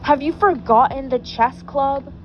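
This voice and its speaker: A woman's voice: high voice, measured pace, muffled recording, slight background noise. A woman reading the lines of a nerdy, gadget-loving teen boy in her own voice. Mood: scheming. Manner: read in the reader's own voice.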